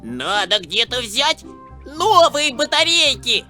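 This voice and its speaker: An excitable cartoon little boy with a high, bright voice. His delivery is lively and boastful, swooping in pitch, with light music behind. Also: slow pace, heavily acted. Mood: complaining. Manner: animated, exclamatory, childlike.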